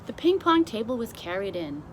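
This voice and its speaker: Woman reading a storybook over clear background noise. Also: a little high, very animated, normal speed. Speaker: storybook reader. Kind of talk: scripted narration, children's story read aloud. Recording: clearly noisy amateur recording.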